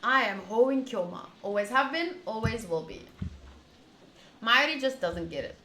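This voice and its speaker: A woman's voice: fairly high pitch, lively intonation, unhurried, bright, forceful tone, echoey room, slight background noise. A woman streamer giving casual, chatty playthrough commentary, reading out lines and adding playful asides. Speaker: game streamer.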